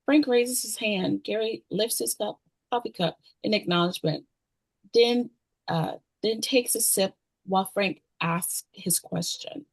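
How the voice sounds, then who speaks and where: A woman's voice: mid-range voice, unhurried, creaky, no room sound, poor audio. A woman narrating the stage directions of a screenplay in a scripted read-through.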